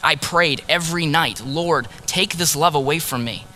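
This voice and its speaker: A man preaching in the first person as a grieving ancient prophet, earnest and sorrowful, dropping to a hush on key words. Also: fairly high pitch, moderate pace, slight background noise. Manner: earnest, sorrowful storytelling, drops to hushed tones on key words. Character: grieving ancient male prophet, heartbroken husband. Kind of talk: dramatic first-person sermon monologue.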